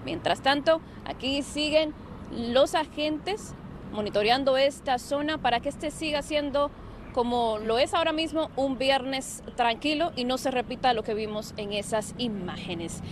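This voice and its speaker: High-voiced woman reporting live outdoors. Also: measured pace, a little hiss or hum. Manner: clear, energetic on-air delivery. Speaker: field reporter. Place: outdoors on a city street at night. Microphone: handheld mic.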